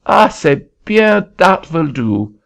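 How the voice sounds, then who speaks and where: A man voicing an excitable middle-aged Frenchman with a French accent and sputtering broken English, in an audiobook reading. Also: fairly high pitch, takes it slowly, gravelly, pitched above the performer's own voice, heavily acted. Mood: polite, puzzled. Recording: dull lo-fi recording.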